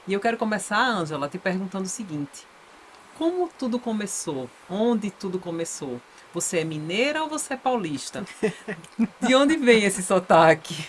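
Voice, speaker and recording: A woman co-hosting a podcast, introducing a guest in a measured, admiring way. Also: medium pitch, big pitch swings, a little hiss or hum. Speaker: podcast co-host. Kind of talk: podcast interview.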